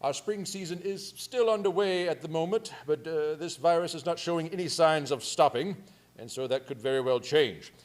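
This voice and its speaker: A man's voice: fairly high pitch, moderate pace. A man hosting a community theatre show, giving a jokey, chatty introduction and announcements. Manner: jokey, chatty, breaks into chuckles. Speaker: theatre company host.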